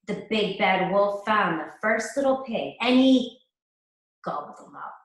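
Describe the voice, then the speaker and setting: A woman teacher reading a fairy tale aloud to children, slowly and expressively. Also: medium pitch, expressive. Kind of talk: read-aloud storytelling. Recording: echoey room.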